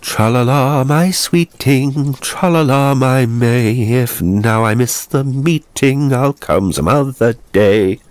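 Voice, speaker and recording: A boisterous, tipsy young nobleman played by a male narrator, raised well above the reader's usual pitch. He is mocking and merry in a slurred, rakish way, as in a storybook. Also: slow, few pauses, lightly acted. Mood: teasing. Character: drunk young English nobleman, jolly, mocking, singing rakishly.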